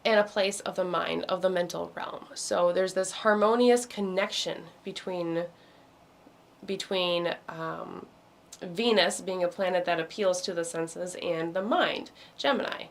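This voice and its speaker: A woman talks through the week's astrology in a loose, wandering way, pausing on ums and circling back to restart her sentences. Her voice is a little hoarse, as if it is starting to give out. She sounds like she is speaking into a computer on a video call. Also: on the low side. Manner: meandering, many ums and restarts. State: losing her voice. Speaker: meditation host. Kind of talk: casual astrology talk.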